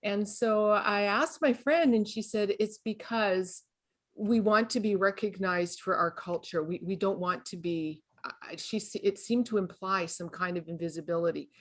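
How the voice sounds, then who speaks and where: Woman, mid-pitched, hesitant and apologetic in her phrasing. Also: normal speed. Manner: tentative, hesitant. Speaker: workshop participant. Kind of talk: casual discussion.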